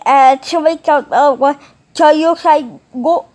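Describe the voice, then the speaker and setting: A woman talking casually in a halting, rambling way, with mumbled phrases, as in an improvised home video. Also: high-pitched, darkish tone.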